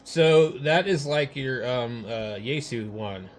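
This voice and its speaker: Guy doing casual ham radio tech talk, kinda halting, thinking out loud, noisy background. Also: mid-range voice. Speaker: amateur radio operator. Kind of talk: casual technical chat.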